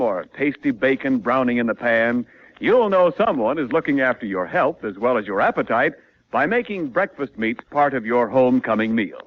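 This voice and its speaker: An American man announcing in scripted 1940s radio style, delivering advertising copy. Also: medium pitch. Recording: muffled vintage 1940s radio recording.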